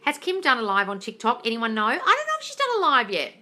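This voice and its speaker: A woman's voice: on the high side, wildly swooping pitch, quick pace, bright, forceful tone. A woman from England hosting a casual livestream, reading viewers' comments aloud and answering them bluntly, calling them darling and swearing freely. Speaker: manifesting coach answering live chat questions. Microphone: phone livestream.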